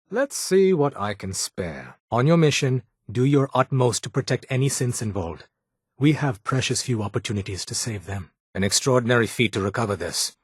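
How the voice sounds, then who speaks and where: A man's voice: medium pitch, breathy edge, no room sound. A man playing a brusque, haughty underground doctor in a video game, with a British accent and a dry, sardonic, formal manner. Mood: clinical. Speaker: medic in a secret resistance group. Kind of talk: video game character voice acting.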